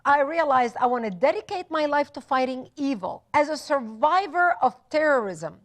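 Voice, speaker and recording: Woman on a tv studio interview, high voice, fired up, piles up lists. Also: lively intonation, moderate pace. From Lebanon. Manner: impassioned, emphatic lists. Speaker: guest author. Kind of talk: passionate studio interview talk.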